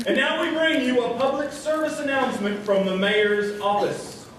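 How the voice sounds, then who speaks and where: A man's voice: extremely high pitch, moderate pace, fairly bright tone, slight background noise. An American man hosting a live radio stage show in a joking, folksy way. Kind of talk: folksy live comedic emceeing. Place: live stage before an audience. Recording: echoey hall, distant mic, faint crowd.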